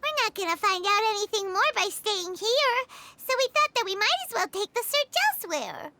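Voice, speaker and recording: A woman voicing a tiny, excitable, childlike fairy companion in a video game, squeaky and indignant. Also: moderate pace, slight background noise, exaggerated voice. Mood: exasperated. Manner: indignant, exclaiming, refers to self in third person. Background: music.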